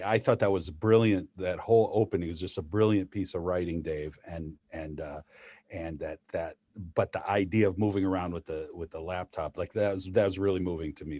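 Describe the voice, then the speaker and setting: Low-voiced man, close dry sound. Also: a little mellow, no room sound. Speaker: actor. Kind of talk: casual post-show discussion. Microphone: laptop video call.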